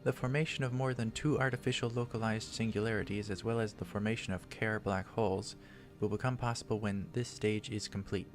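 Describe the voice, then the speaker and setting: A man's voice: lowish voice, fairly even intonation, a little fast, soft background music, voice pushed up, lightly acted. A man voicing a serious young woman scientist from a visual novel, reciting a report formally. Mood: grave, formal.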